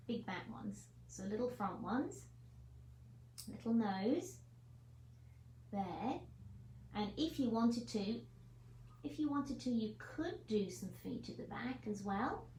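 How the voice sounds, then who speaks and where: A woman's voice: a little high, darkish tone. A woman leading children's story time as a teacher, encouraging and asking her listeners questions. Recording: echoey room, faint noise.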